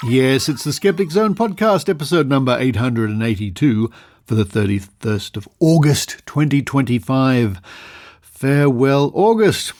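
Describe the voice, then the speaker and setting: A man with an Australian accent presenting a podcast conversationally, with deliberate pauses and drawn-out emphasis. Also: medium pitch, lively intonation, darkish tone, faint music behind. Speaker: podcast host.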